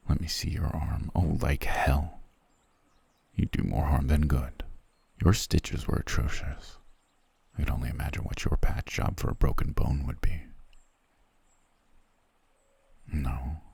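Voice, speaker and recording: A man playing a cold, haughty soldier prince in a fantasy audio roleplay, curt and disdainful, with a gruff, breathy voice. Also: darkish tone, no room sound, exaggerated voice. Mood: irritated, stoic. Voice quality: very deep, gravelly, breathy. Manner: curt, disdainful, dry, low-key grumbling. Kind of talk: ASMR roleplay acting.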